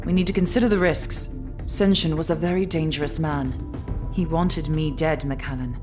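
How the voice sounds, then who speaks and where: A woman's voice: low-pitched, steady tone, normal speed, music in the background, natural voice. An actress playing a grave female leader, speaking with authority in a full-cast audio drama. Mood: grave warning. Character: grave, commanding female leader of a hidden city.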